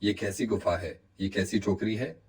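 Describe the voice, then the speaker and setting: A worn, worried village labourer in a cartoon moral tale, played by a man. His voice is low and husky, and he frets and exclaims as if talking to himself. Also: moderate pace, a little mellow. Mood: anxious relief. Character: weary, anxious poor village man seeking work, a father. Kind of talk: cartoon story acting.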